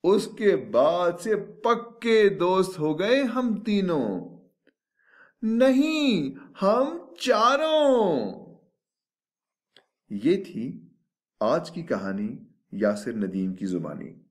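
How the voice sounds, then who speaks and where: A man narrating a children's story expressively, with deliberate, dramatic phrasing and drawn-out emphasis. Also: sits high, very animated.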